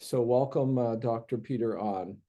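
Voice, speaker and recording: A man's voice: medium pitch, moderate pace, dark tone, muffled recording. A man moderating a seminary panel on humility, introducing the speakers with many fillers and restarts.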